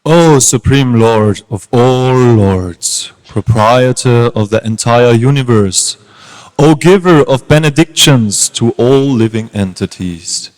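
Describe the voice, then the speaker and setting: A man's voice: medium pitch, takes it slowly, darkish tone, lightly acted. A man playing a reverent, grateful creator god, exalting his lord in a declamatory dramatic scripture reading. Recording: reverberant hall.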